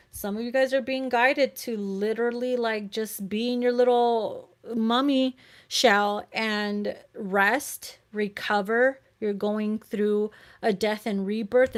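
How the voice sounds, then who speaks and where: Casual woman tarot reader, slightly hoarse. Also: mid-range voice, dry recording, clean studio sound. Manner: informal, chatty, encouraging, mild swearing. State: slightly hoarse from long talking. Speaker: tarot reader hosting a livestream. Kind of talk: casual live card reading addressed to viewers.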